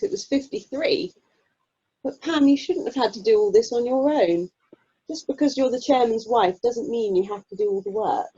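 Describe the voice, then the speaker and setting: An amateur actress playing a helpful, matter-of-fact single mum in a radio play. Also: female voice, fairly high pitch, warm tone, husky. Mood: sympathetic. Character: helpful, practical single mum, drama club member. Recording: muffled, lo-fi video call audio.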